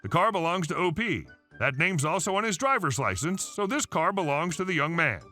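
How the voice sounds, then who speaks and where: Male reader as a steady police officer, bright voice, faint music behind. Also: on the high side, brisk. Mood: firm. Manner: firm, patient, formal.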